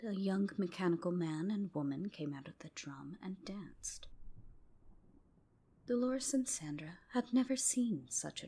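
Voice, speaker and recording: A woman narrating a folk tale as ASMR, with a soft, whispery, hushed bedtime delivery. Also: lowish voice, husky. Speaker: storyteller. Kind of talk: ASMR storytelling, scripted narration.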